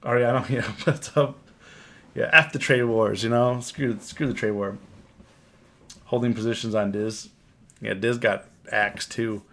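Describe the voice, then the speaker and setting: Hoarse, gravelly man streaming a trading recap. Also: mid-range voice, steady tone, measured pace, long pauses, bright, forceful tone. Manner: rambling, repeats words and restarts often. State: hoarse from a cold. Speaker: options trading live streamer. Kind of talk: casual live-stream market recap. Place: home, on camera.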